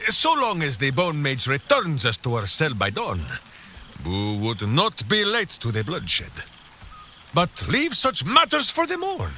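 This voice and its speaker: An actor playing a hearty, simple-minded big warrior in a video game, boisterous and theatrical, speaking of himself in the third person. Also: male voice, a little high, sharp tone, a little hiss or hum, heavily acted. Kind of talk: video game character acting.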